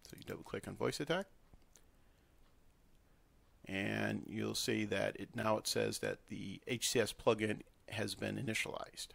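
A man presenting a casual software tutorial, talking informally through each step as he works at a computer. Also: fairly low pitch, fairly even intonation, a little slow, gravelly, no echo. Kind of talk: casual screen-recorded tutorial.

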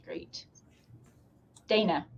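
A woman's voice: extremely slow, whispered. A woman hosting and moderating a panel discussion over a video call. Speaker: host, college administrator moderating a panel. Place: office, on a group video call. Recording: slightly dull video-call audio.

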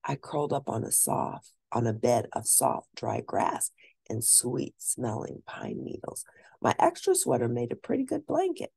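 Gravelly, animated woman storyteller. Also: extremely low pitch, no room sound. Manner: animated, deliberate, lingering on key words. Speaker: storyteller reading a children's book. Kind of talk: expressive read-aloud narration of a children's story.

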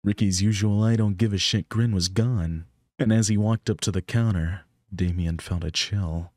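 A man's voice: lowish voice, normal speed, a little mellow, no room sound, clean studio sound. A man reading scripted story narration for a visual novel. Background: background music.

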